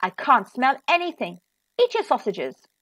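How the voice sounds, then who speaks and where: A woman voicing a giant's practical, dismissive wife in a children's storybook, with a brisk, matter-of-fact manner. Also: high voice, wildly swooping pitch, fairly bright tone, no echo, heavily acted.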